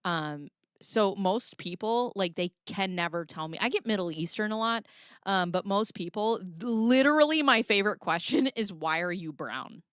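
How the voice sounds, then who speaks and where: A woman's voice: mid-range voice, expressive, normal speed, a bit bright, clear, resonant tone, no echo, clean studio sound. A young woman with a Midwestern accent hosting a casual livestream, reading her chat aloud, laughing often and swearing freely. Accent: Midwestern American. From American Midwest.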